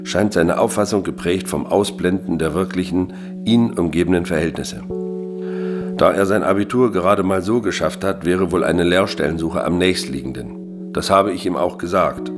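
Low-pitched male voice, actor as middle-aged ex-soldier dad, gruff, sarcastic, German radio drama, music behind. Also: moderate pace, natural voice. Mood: formal, resigned. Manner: sarcastic, coarse, grumbling. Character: gruff, embittered greying ex-army father.

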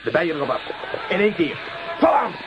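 A bold elder brother at the head of a band of riders in a radio play. He is hearty and commanding with very lively intonation, on a noisy, dull recording. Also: on the high side, quick pace. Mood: rallying.

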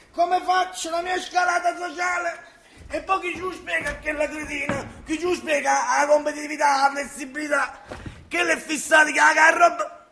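A boastful frustrated southern italian dude, really high piercing bright voice, theatrical rants, radio drama, echoey room. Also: male voice, vocal fry, exaggerated voice. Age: 30s. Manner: ranting, declamatory, often shouting. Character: frustrated, boastful man of thirty-three dreaming of projects. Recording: roomy stage-like echo.

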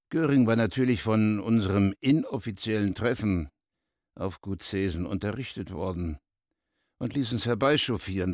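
A gravelly, calm dignitary. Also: male voice, fairly low pitch, no room sound, studio quality.

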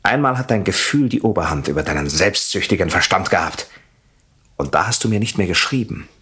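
An actor in a radio play playing a jealous, coldly sardonic husband with a mockingly polite, deliberate manner. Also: male voice, low-pitched, lively intonation, pushed and bright, slightly rough, heavily acted. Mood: cold, accusing.

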